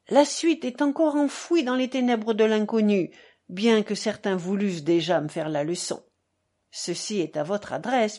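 A woman's voice: a little high, dark tone, exaggerated voice. A woman voicing a theatrical, self-abasing old buffoon in a novel, exclaiming and declaiming with effusive mock piety. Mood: gushing, provocative.

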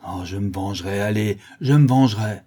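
A man's voice: on the high side, animated, normal speed, mellow, breathy edge, voice pushed up. A man voicing a brutal brigand who has been blinded, exclaiming and pleading in a storybook reading. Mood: vengeful rage. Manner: exclamatory, pleading, repeating words in anguish. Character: brutal male brigand, newly blinded, raging then pitifully despairing.

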